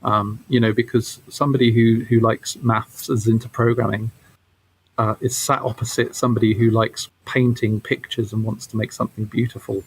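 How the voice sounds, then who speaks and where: A man's voice: on the low side, little pitch movement, normal speed, slight background noise, poor audio. A man speaking casually as an interview guest, explaining things as a game developer and rephrasing as he goes.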